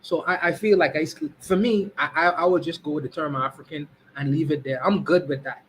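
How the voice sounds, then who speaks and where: A man's voice: on the high side, a little fast, fairly bright tone, slight background noise, rough recording. A man from the Bahamas, giving his view in casual talk and often restarting his sentences. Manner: many restarts and repeated "you know what I mean". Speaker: guest.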